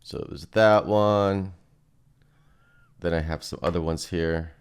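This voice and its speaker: Deep-voiced man, casual software demo. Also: fairly even intonation, a little slow, clean tone. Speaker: presenter demonstrating music software. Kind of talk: casual screen-recorded software walkthrough.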